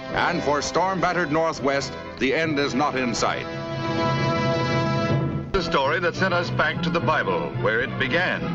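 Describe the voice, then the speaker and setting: Old-time american newsreel guy, kinda high voice, declaiming over music, dull old film sound. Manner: dramatic, declamatory announcer delivery. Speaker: newsreel narrator. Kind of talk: 1950s newsreel scripted narration.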